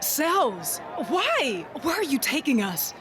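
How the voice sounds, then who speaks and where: A woman's voice: high-pitched, wildly swooping pitch, takes it slowly, heavily acted. A woman playing an anxious, confused mother in a fantasy audio drama, startled and full of questions. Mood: bewildered, alarmed. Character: bewildered, anxious mother in a strange world. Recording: noisy ambience behind the voice.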